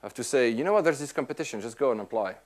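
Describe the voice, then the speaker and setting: A Swiss-born man answering audience questions in casual, animated talk, often restarting his sentences. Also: mid-range voice, very fast. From Switzerland. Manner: animated, many restarts and repeats. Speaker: tech speaker answering questions. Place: lecture room at an institute.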